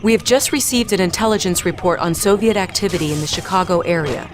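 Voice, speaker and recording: Low-voiced female military briefing officer, played by an actress. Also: quick pace, lightly acted. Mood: businesslike. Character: composed young female military intelligence lieutenant briefing the commander. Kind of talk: video game cutscene mission briefing. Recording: music behind the voice.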